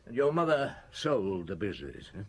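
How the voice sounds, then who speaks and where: A calm, probing chief inspector. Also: male voice, lowish voice, husky, exaggerated voice. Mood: steady. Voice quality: measured, questioning. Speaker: detective. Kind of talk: radio drama acting.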